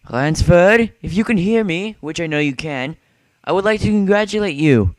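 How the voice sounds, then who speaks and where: A man's voice: sits high, lively intonation, normal speed, no echo, low-quality recording. A man playing an urbane, smug aristocratic general in an amateur drama, speaking in a formal, genteel manner. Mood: loud taunting. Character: urbane aristocratic male general who hunts people for sport. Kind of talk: amateur short story dramatization.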